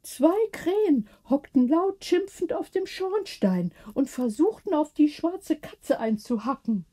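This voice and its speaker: A small elderly lady from a storybook acted out by a woman reading aloud. She talks in a raised, high voice and chatters on eagerly like a neighbour sharing gossip. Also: expressive, measured pace, warm tone. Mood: excited.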